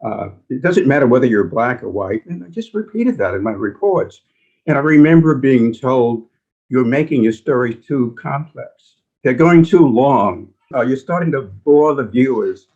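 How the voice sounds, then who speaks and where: An older man recalling his experiences as a veteran reporter on a panel, with emphatic, halting phrasing and stressed words. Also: mid-range voice, lively intonation, darkish tone. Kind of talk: personal recollection in a panel discussion. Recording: dull, lo-fi audio.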